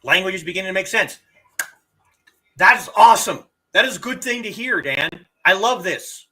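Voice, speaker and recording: A middle-aged martial arts teacher chatting casually on a live stream, reading viewer comments and sounding out words with animated emphasis. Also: mid-range voice. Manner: animated, drawn-out demonstrations of word sounds.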